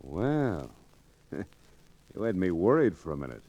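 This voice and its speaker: An actor playing a brash, wary saloon gambler in an old-time radio western, with a slangy, tough, offhand way of talking. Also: male voice, sits low, very animated, takes it slowly, mellow, no echo, muffled recording, full character voice. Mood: easy, amused.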